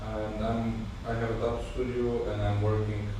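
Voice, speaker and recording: A man's voice: lowish voice, completely flat delivery, background noise. Bulgarian-accented man, echoey distant livestream. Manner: halting, many restarts and fillers. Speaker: tattoo artist livestreaming. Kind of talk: live Q&A while tattooing. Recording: echoey room, distant mic.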